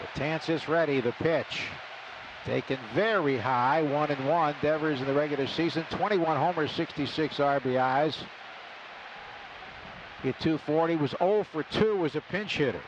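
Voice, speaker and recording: A man with a slightly high voice calls a baseball game live on the radio from the booth. His phrases are short and clipped, rising for the big plays. Clear stadium noise runs behind him throughout. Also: a little slow, lo-fi sound. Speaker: radio baseball play-by-play announcer. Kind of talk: live sports commentary. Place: broadcast booth at an outdoor ballpark. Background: loud ballpark ambience.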